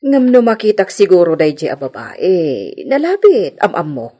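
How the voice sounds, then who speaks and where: Older village mom, Ilocano accent, husky, swooping intonation, frank, radio drama. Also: female voice, lowish voice, big pitch swings, moderate pace, warm tone. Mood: skeptical, frank. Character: expressive, frank village mother, older woman.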